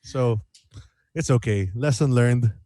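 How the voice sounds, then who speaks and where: A man's voice: mid-range voice, slow, dark tone. A man chatting casually as a singer being interviewed, informal and jokey about himself. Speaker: guest singer-songwriter.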